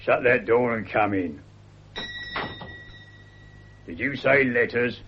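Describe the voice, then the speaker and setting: An actor playing a blunt, suspicious working-class British cafe keeper in a 1950s radio drama. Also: male voice, mid-range voice, slow pace. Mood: gruff, irritated. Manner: blunt, grudging, colloquial. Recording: dull, noisy 1950s radio recording.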